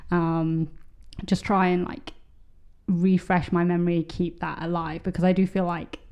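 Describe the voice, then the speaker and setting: A woman's voice: on the low side, level tone, unhurried, darkish tone, clean tone. A woman co-hosting a podcast in casual chat, rambling with many fillers and restarts. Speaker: podcast co-host.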